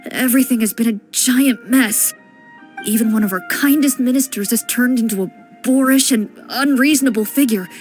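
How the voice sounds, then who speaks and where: A woman playing a calm, reserved swordswoman. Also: on the high side, lightly acted. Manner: calm, measured, explanatory. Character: composed young female duelist, serious and reserved. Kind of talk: game cutscene dialogue. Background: game music.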